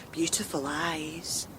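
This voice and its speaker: A woman's voice: pushed and bright, heavily acted, low-quality recording. An actress playing a defiant Victorian woman in a gothic radio drama, biting and sarcastic towards a villain. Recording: faint rumbling background noise.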